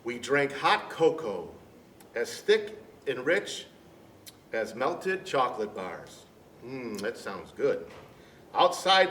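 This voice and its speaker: A man reading a storybook to children in deliberate, separated phrases. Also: fairly high pitch, a bit bright. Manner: deliberate phrasing with many pauses. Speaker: storybook reader. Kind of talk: scripted storybook narration for children. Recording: echoey room, distant mic, background noise.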